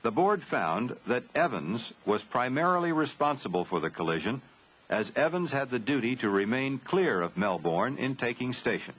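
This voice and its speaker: An American man narrating a 1970s military training film from a script, formal, grave and measured. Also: medium pitch, very animated, fairly bright tone, breathy edge. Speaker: documentary narrator. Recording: dull 1970s film soundtrack, faint noise.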